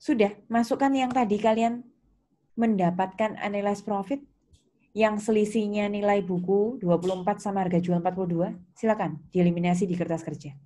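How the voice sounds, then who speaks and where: A woman's voice: medium pitch, steady tone, brisk, mellow. A woman with an Indonesian accent lecturing step by step in a didactic way, posing questions and answering them herself, with English technical terms mixed in. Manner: mixes English technical terms into her speech. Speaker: accounting lecturer. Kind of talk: online lecture explaining step by step.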